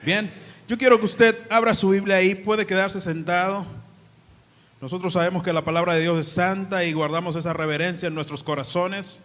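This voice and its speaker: A man's voice: high-pitched, flat delivery, moderate pace, fairly bright tone. A man from the Dominican Republic with a Dominican accent, preaching a sermon to a congregation, praying, reading scripture aloud and speaking chattily between readings. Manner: prays and reads scripture aloud, then talks chattily to the congregation. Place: church hall. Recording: long church hall reverb.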